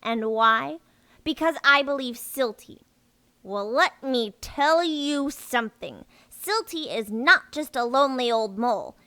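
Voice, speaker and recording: Female mole laborer, played by an actress, earnest and defensive, high forceful voice, audio drama. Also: animated, a little slow, no room sound, heavily acted. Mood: indignant. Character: earnest, gullible, indignant young female moleperson worker.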